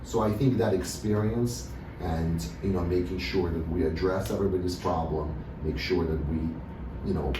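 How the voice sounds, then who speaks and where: Man from Russia, very low voice, halting speech full of fillers, echoey room, distant mic with noise. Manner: hesitant, many fillers and restarts. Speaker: dentist, interview guest. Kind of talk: casual interview answers.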